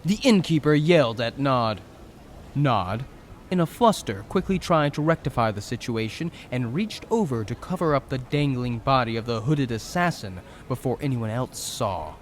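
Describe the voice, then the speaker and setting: Expressive male story narrator. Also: mid-range voice, moderate pace, slight background noise. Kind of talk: dramatic scripted story narration.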